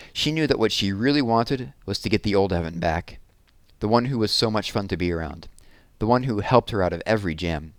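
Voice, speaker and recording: A man with a fairly low voice reads a children's novel aloud. He tells it expressively, stretching key words for emphasis. The recording is dry, with very little room sound. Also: no room sound. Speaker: storyteller reading aloud.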